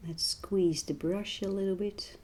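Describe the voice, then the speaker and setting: A woman with a slightly hoarse voice, talking gently and unhurriedly as she narrates a painting tutorial, sometimes dropping to a near whisper. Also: medium pitch, fairly even intonation. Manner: soft-spoken, unhurried, drifting into quiet near-whispers. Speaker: art tutorial host and voice teacher.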